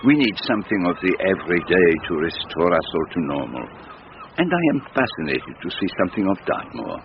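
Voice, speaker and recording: An actor playing a courteous, enigmatic foreign detective who speaks in formal, deliberate phrases, in a radio drama. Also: male voice, deep voice, big pitch swings. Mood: courteous, persuasive. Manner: formal, deliberate phrasing with French forms of address. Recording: muffled, noisy radio drama recording.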